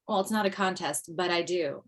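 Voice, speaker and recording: A woman's voice: fairly low pitch, fairly quick, fairly bright tone, lightly acted. A woman playing an overwhelmed, bossy widowed mother with a dry wit in a comedy table read over video call. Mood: candid, wry.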